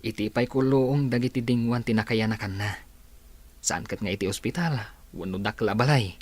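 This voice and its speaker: A man's voice: lowish voice, moderate pace, dry recording, lightly acted. A man acting as a humble, reflective sick employer in an Ilocano radio drama, speaking earnestly.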